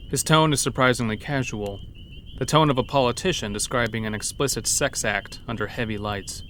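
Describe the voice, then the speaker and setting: A man's voice: medium pitch, brisk, a bit bright, a little hiss or hum. A man narrating a scripted first-person horror story in a dramatic storytelling manner. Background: background music.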